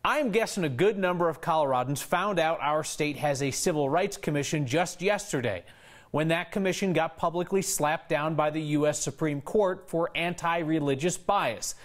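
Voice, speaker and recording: A male news anchor speaking in a conversational broadcast style. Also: a little high. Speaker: news anchor and debate moderator.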